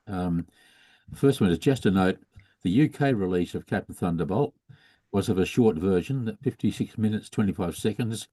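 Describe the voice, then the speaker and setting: A man moderating an online discussion, hesitant and halting as he relays audience messages. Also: low-pitched, mellow, no room sound. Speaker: webinar moderator.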